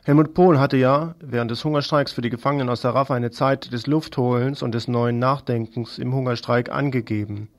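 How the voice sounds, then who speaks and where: A man's voice: mid-range voice, steady tone, brisk, few pauses. A man presenting scripted political news commentary on the radio.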